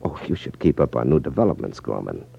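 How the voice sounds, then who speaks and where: An American actor playing a cool, wry magician detective in a 1950s radio drama, with a teasing, unruffled manner. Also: male voice, extremely low pitch, very animated, quick pace, vocal fry, husky, no room sound, exaggerated voice. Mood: assured, wry. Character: cool, wry magician detective who exposes gambling rackets. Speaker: lead actor in a detective series. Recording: muffled 1950s radio broadcast recording.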